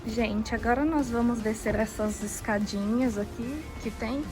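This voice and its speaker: A woman's voice: a little high, unhurried, over music. A young woman with a Brazilian accent chatting to viewers as she walks through city streets for a travel vlog. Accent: Brazilian Portuguese. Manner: chatty, addresses viewers directly as "gente". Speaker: vlogger. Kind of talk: casual travel vlog narration.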